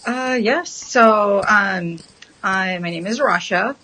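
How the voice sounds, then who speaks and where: A woman, a guest answering questions thoughtfully, with many pauses and ums. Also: medium pitch, bright, forceful tone, rough recording. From Syria. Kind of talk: casual interview chat.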